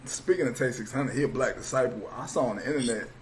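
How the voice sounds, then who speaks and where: A man hosting an interview, asking loose, rambling questions in relaxed slang. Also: medium pitch, fast, a little hiss or hum. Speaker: interviewer, host.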